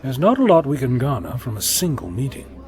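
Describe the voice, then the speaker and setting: An actor playing a chatty, wry, inquisitive time-travelling adventurer in an audio drama, talkative and flippant. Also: male voice, medium pitch, sing-song, brisk, lightly acted. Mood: curious, wry.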